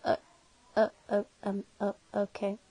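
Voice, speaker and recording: A woman's voice: medium pitch, monotone, a little slow, dark tone. A young woman rambling casually as a video creator, apologetic, awkward and giggly. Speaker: video creator dubbing fan comics. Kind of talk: casual, rambling vlog commentary.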